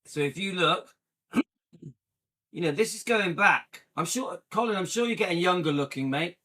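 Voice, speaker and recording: A man's voice: high voice, lively intonation, unhurried, pushed and bright. Chatty man hosting a casual vlog. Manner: rambling, chatty, addresses viewers as guys. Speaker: vlog host. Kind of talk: casual livestream chat while browsing videos.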